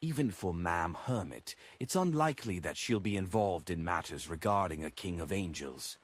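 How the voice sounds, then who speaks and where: A man's voice: low-pitched, animated, normal speed. A man voicing an eager, curious young noblewoman in an audiobook, with a lifted, inquisitive delivery. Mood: musing.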